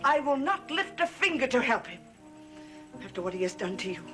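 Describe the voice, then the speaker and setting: Occult retainer: deferential yet controlling, solemn soap melodrama, somewhat high voice, faint score behind. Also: female voice, very animated, brisk, a bit bright, faint music behind. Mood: fearful, pleading. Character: scheming, devoted occult servant woman. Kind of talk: 1960s gothic soap opera acting.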